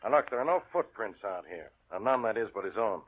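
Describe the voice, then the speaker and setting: An actor playing a gruff, irritable police inspector who is easily needled, in 1940s radio style. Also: male voice, lowish voice, expressive, fast, close to an everyday voice. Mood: probing, puzzled. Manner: blunt, exasperated. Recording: muffled, noisy old radio recording.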